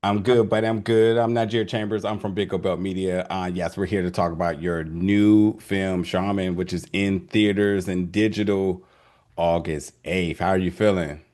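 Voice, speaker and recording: A man's voice: sits low, flat delivery, moderate pace, darkish tone. Rambling man interviewing a film director. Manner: rambling, with many restarts and fillers. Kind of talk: casual video interview.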